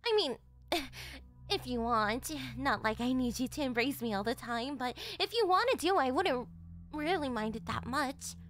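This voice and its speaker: A woman's voice: sits high, animated, unhurried, a bit bright. A woman voicing a shy, flustered tsundere young girlfriend in an anime-style ASMR roleplay, defensive and stammering, with a whiny edge. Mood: shy affectionate.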